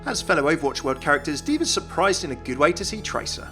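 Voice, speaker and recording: A man's voice: on the high side, big pitch swings, quick pace, bright, forceful tone, faint music behind. A man narrating a scripted video game explainer as a YouTube host, enthusiastic and explanatory.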